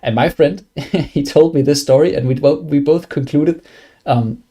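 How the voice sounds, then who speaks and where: Young Danish-accented streamer, gravelly voice, loose rambling chat with lots of restarts. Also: male voice, on the high side, a little slow, warm tone. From Denmark. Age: young adult. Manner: rambling, many fillers and restarts. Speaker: game streamer. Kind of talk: casual live stream chat.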